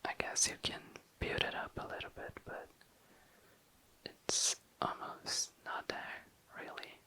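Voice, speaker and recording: Breathy whisper, very bright and crisp-edged, ASMR host, casual tentative musing, close-miked. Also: slow pace. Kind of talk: whispered ASMR, casual makeup swatching narration.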